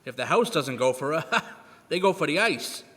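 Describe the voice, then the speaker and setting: A man plays a brash backstage showbiz type in a radio drama. The voice is high, animated and punchy, full of wisecracking slang, with hall reverb around it. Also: moderate pace, very noisy, natural voice. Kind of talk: old-time radio drama acting. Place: live performance in a church. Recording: echoey church hall.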